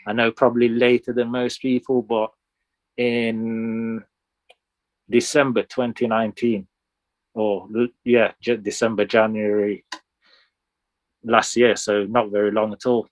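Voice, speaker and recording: A man in his thirties, originally from Sudan, speaks as a guest in an interview. His voice sits a little low. He tells his story in a casual, conversational way, recorded close and dry with very little room sound. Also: little pitch movement, unhurried, long pauses, no room sound, poor audio. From Sudan, moved to the UK when young. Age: 30s. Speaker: interview guest, sneaker collector.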